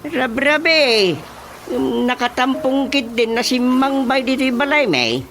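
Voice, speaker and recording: A man's voice: very high-pitched, lively intonation, takes it slowly, exaggerated voice. A man playing a jumpy, grumbling father in a radio drama comedy. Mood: puzzled. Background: loud music.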